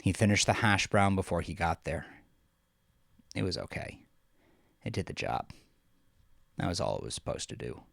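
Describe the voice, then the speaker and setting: A man narrating scripted horror fiction in a slow, dramatic manner, often dropping into breathy near-whispers. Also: deep voice, sing-song, dry recording, studio quality. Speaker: audiodrama narrator. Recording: music under the voice. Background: music.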